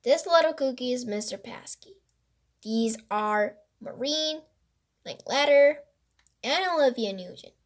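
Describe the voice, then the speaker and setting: A woman's voice: high-pitched, expressive, a little slow. A woman voicing a joking, skeptical young boy in a children's storybook, with a playful manner.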